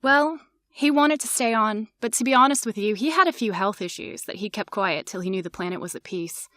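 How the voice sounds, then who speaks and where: An actress playing a courteous, diplomatic alien president in an audio drama, speaking in a formal, measured manner. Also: female voice, medium pitch, expressive, sharp tone, dry recording, studio quality. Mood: formal, gracious.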